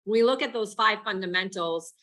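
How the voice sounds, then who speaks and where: A woman's voice: medium pitch, brisk, fairly bright tone. A woman hosting an interview, encouraging and asking long, open questions. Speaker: host and real estate coach. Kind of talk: conversational interview.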